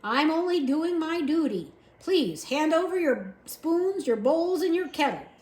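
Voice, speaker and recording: A woman voicing a dutiful male soldier who speaks formally and shouts slowly to be understood, recorded in an echoey room. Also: sits high, big pitch swings, pitched above the performer's own voice.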